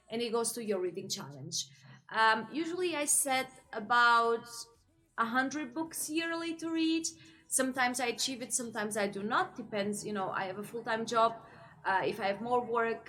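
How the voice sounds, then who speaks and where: A woman's voice: fairly high pitch, a bit bright. A young woman from Portugal chatting casually to camera about her reading, with many fillers and frequent laughs. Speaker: book vlogger.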